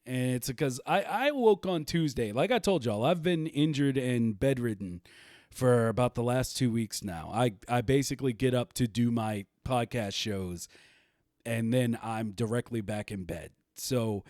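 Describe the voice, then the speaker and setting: A man's voice: medium pitch, expressive, unhurried, a little mellow, dry recording, studio quality. A man hosting a livestreamed podcast, talking casually and rambling, laughing easily. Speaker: podcast host.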